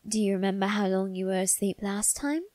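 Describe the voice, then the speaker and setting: A woman voicing a doting, secretive young male vampire aristocrat in a soft, intimate ASMR roleplay, with refined, coaxing phrasing. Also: mid-range voice, flat delivery, no echo, close to an everyday voice. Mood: gently soothing. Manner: coaxing, gentle, unhurried, refined phrasing with tag questions. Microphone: close, dry microphone.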